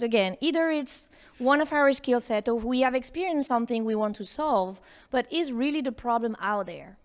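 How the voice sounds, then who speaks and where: A woman leading a live workshop for students, urging them to share their ideas and asking them direct questions. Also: a little high. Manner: coaxing, questions the audience directly. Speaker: workshop speaker. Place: lecture room with audience.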